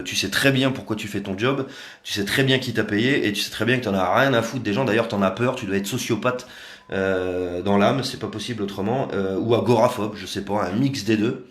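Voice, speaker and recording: A man's voice: lowish voice, normal speed, fairly bright tone, reverberant. A man talking casually and sarcastically on a live video from a room at home, with many hesitations. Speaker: activist livestreamer.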